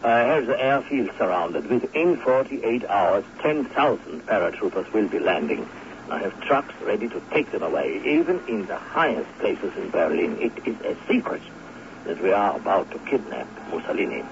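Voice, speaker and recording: A commanding German officer in a radio play, speaks in a low register. He briefs like a plotter, pausing to hum in thought, through a dull broadcast with faint hiss. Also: very animated, creaky. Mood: conspiratorial, assured. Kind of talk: radio drama acting.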